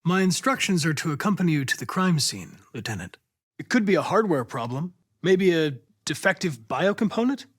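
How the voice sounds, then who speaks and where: Young male android cop from a video game, polite n determined, precise wording, highish voice that moves around a lot. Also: very animated, brisk, slightly rough, no echo, studio quality. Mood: matter-of-fact. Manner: formal, precise, controlled diction.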